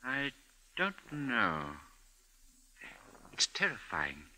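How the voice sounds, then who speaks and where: An actor playing an uneasy, deferential editor, hesitant and earnest, in 1950s radio drama style. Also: male voice, medium pitch, huge pitch swings, drawn-out words, pushed and bright, full character voice, poor audio. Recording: faint noise on old radio recording.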